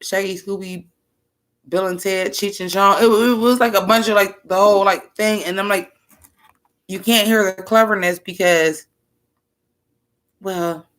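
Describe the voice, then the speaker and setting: A woman rapper with a deep, bass-heavy voice, chatting loosely to her viewers as she reacts to her own old album. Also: steady tone, measured pace, pauses often, fairly bright tone. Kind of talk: casual reaction commentary.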